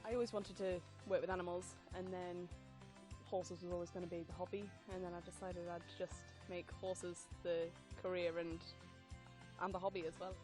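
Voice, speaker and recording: A woman's voice: on the low side, level tone, normal speed. A young woman, a trainee at a horse stud, giving short upbeat answers. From England. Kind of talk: casual interview answers. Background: background music.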